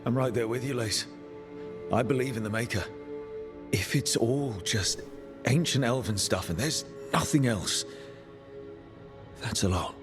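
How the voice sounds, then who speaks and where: An actor playing an earnest, steady leader of an adventuring party in a video game cutscene, with music behind him. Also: male voice, medium pitch, measured pace, pauses often, lightly acted. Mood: earnest, troubled.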